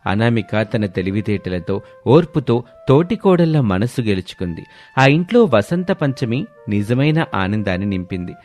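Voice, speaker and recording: A man's voice: lowish voice, very animated, normal speed, darkish tone, soft background music. A man reads scripted narration of a moral family story as a storyteller.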